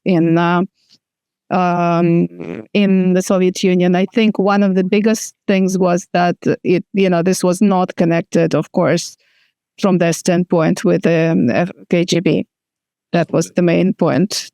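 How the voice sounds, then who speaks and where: A middle-aged woman from Moscow with a Russian accent, a native Russian speaker, answering interview questions thoughtfully with hesitant pauses. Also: on the low side, vocal fry, darkish tone, smooth, no echo. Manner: thoughtful, many fillers and restarts. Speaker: filmmaker guest.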